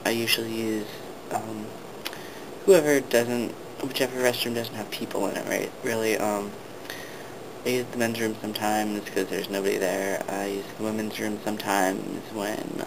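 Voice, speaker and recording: A young man talking casually to the camera, hesitant and full of ums and restarts. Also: fairly low pitch. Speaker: vlogger. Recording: steady background noise.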